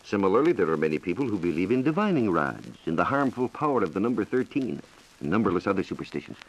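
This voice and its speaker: A man's voice: sits low, big pitch swings, fast talker, rough recording. A man explaining science from a script on a 1940s radio program, formal, precise and authoritative, heard on a vintage broadcast recording. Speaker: science reporter answering listener questions.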